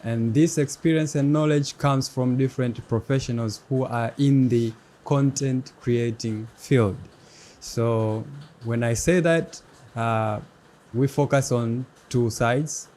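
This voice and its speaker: A young man hosting a casual interview show, speaking haltingly and in a friendly way with many pauses. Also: medium pitch, darkish tone. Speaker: podcast host. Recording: faint background noise.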